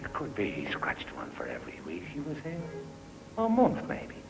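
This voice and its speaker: An actor playing a gruff, fussing old sailor minding shipwrecked children, colloquial and scolding yet tender, in a classic film. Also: male voice, on the low side, big pitch swings, a little fast, music in the background, low-quality recording. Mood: troubled.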